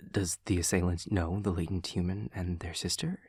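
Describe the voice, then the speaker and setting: A man playing a husky, deep, awkward demon classmate. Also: fairly even intonation, darkish tone, no room sound, clean studio sound. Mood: puzzled, careful. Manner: stilted, formal, halting and hesitant. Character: awkward, formal, caring young male demon classmate. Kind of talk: intimate audio roleplay.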